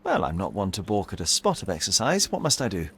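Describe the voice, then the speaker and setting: An actor playing a young, self-assured gentleman detective in a video game, speaking formally with dry politeness. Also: on the low side, huge pitch swings, quick pace, exaggerated voice. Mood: composed. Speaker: amateur detective.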